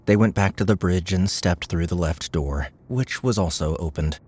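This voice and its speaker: A man narrates an adventure audiobook in a low-pitched voice. He delivers scripted third-person prose as a storyteller. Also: normal speed, a little mellow, husky.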